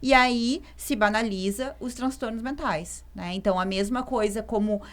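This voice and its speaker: A woman's voice: on the high side, normal speed. A woman psychologist, a guest on a podcast, talking things through with many fillers. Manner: thoughtful, many fillers like "né" and "assim". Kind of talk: podcast discussion.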